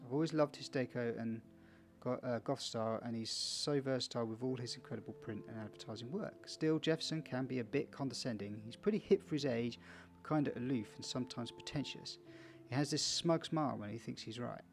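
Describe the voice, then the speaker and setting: Creaky-voiced man doing a game playthrough. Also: mid-range voice, fairly quick, hardly any pauses. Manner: chatty asides to viewers, stumbles over read text. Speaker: video game let's player. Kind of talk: casual commentary and reading game text aloud.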